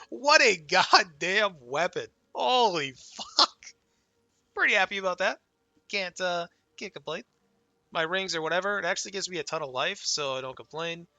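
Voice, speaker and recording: A young man casually talking through his game character, laid-back and excited, his voice worn and going hoarse from a cold. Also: high-pitched, dry recording. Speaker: gaming channel host. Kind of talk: casual gameplay commentary.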